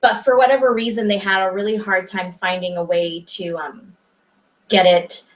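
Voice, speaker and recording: A woman co-hosting a casual movie review chat, chatty and quick to jump in. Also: mid-range voice, fairly even intonation, poor audio. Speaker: podcast co-host.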